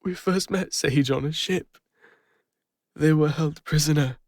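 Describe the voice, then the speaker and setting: A man with an English accent playing a guilt-ridden, lonely retired adventurer, speaking haltingly and emotionally in an intimate fantasy audio roleplay. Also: fairly high pitch, no echo, studio quality. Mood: fond, nostalgic.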